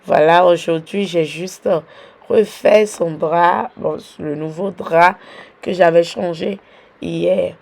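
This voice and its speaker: Young Beninese mom vlogger with a cold: warm, dark tone, gravelly edges, talks slowly. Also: female voice, lowish voice, fairly even intonation. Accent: Beninese French. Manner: unhurried, chatty, fills pauses with "voilà". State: has a cold and sore throat. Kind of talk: casual home vlog narration.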